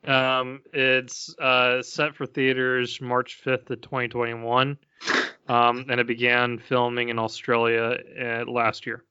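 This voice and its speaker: A man's voice: mid-range voice, monotone, slow, sharp tone, smooth, no echo, muffled recording. A man co-hosting a casual podcast, agreeable and hesitant, with frequent ums. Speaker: podcast co-host.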